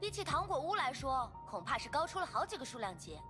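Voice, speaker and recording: A cute, chatty little creature girl from an anime-style game. The voice is extremely high and lively in pitch. Music plays clearly behind it. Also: fast talker, exaggerated voice.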